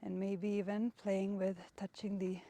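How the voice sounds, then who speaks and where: A woman's voice: medium pitch, flat delivery, measured pace, dark tone. A young woman, a non-native English speaker, chatting casually and encouragingly as she leads a workout outdoors. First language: not English. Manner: encouraging, conversational. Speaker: workout instructor and host. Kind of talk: casual workout instruction and chat.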